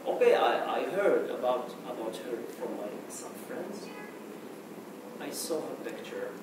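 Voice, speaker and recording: Moroccan guy giving a halting conference talk, lots of "okay", very echoey room. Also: medium pitch, very animated. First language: Arabic. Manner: hesitant, many restarts and "okay" fillers. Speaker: conference speaker. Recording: echoey hall, mic far off, noisy.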